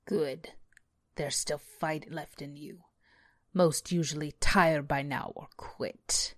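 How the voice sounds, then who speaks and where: A woman voicing a massive demonic devil dog from a horror story, guttural and deliberate, menacing yet loyal. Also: deep voice, fairly even intonation, no echo, studio quality. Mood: cold sneering. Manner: slow, deliberate menacing delivery.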